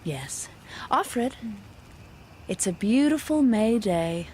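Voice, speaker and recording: A woman's voice: a little high, expressive, takes it slowly, darkish tone. A woman playing a devout, judgmental handmaid in an audio drama, prim and controlled in dialogue. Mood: pious. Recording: light ambient scene noise.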